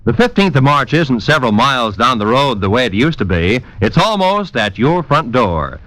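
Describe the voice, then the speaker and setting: Bright, lively male radio announcer. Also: medium pitch, moderate pace, rough recording. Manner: polished, formal announcer delivery. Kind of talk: 1940s radio announcing, scripted.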